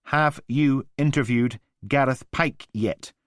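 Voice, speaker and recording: A man's voice: medium pitch, slow, no echo, clean studio sound, voice pushed up, natural voice. A man voicing a pushy male detective who talks urgently and insistently.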